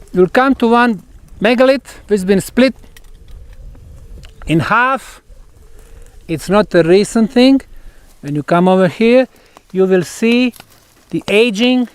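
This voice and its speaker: A man guiding an on-site tour outdoors, explaining in emphatic, deliberate short phrases with pauses. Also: sits high, lively intonation, clean tone, no echo. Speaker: tour guide and researcher.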